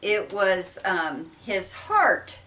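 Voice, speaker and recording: Slow-talking middle-aged woman, off-mic. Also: medium pitch, big pitch swings, sharp tone. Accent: Midwestern American. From United States. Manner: halting, with many ums and restarts. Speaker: vlogger. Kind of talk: casual chat while folding laundry. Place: small indoor laundry room. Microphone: camera set apart from her. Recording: voice a bit far from mic.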